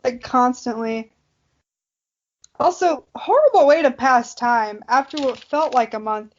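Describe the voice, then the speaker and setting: A woman's voice: high-pitched, expressive, unhurried. A young woman making casual, joking commentary in a wry, bemused manner. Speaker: podcast co-host. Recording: dull lo-fi audio.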